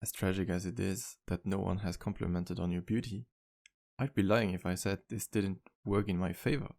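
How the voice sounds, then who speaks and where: A man playing a charming, flirtatious vampire bartender in an ASMR roleplay, speaking in a courteous, teasing, intimate way with unhurried pauses. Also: low-pitched, fairly even intonation, a little mellow. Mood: flirty, teasing. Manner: smooth-talking, courteous, playful, unhurried pauses. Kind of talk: ASMR roleplay, intimate one-sided dialogue.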